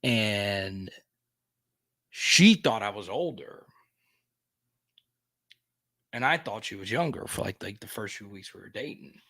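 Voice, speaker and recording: A man's voice: fairly low pitch, lively intonation, slow, vocal fry, pauses often, bright, forceful tone, no room sound, clean studio sound. A man in his thirties hosting a sports podcast livestream, chatting casually as he reads viewer comments, laughing often and tripping over restarts. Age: 30s.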